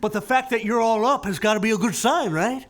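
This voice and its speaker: Man playing a high-voiced crotchety old man. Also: brisk, fairly bright tone. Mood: matter-of-fact. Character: crotchety old man, stranded space traveler, comic. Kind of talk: live comedic musical podcast acting. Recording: live theater recording with faint music.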